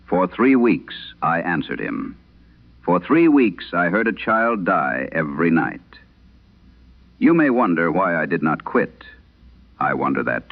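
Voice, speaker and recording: A man narrating a scripted vintage radio horror tale in the first person, in a grave, measured, gravelly voice. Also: deep voice. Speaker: narrator of a horror audio drama. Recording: muffled, band-limited vintage radio sound.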